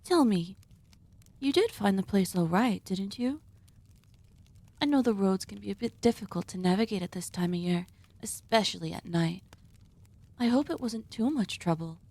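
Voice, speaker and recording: A woman playing a polite, sinister female cannibal surgeon hosting dinner in an ASMR roleplay, speaking in formal, deliberate phrases. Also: lowish voice, warm tone. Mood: gracious, welcoming. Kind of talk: ASMR roleplay, scripted monologue addressed to listener.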